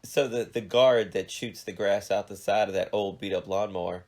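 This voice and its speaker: A man's voice: lowish voice, steady tone, normal speed, a little mellow. A man in his forties hosting a casual podcast chat, a bit nasal from allergies, joking and self-deprecating. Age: 40s. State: stuffed up, slightly nasal from allergies. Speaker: podcast host.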